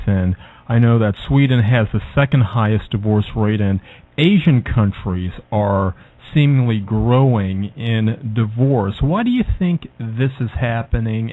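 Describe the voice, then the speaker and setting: A male radio host asking long, deliberate interview questions with some hesitant fillers. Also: mid-range voice. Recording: tinny phone line.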